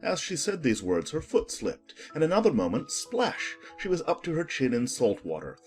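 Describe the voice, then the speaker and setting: An audiobook narrator telling a children's story. The delivery is expressive and animated, swooping in pitch for emphasis. Soft music plays behind the voice. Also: moderate pace.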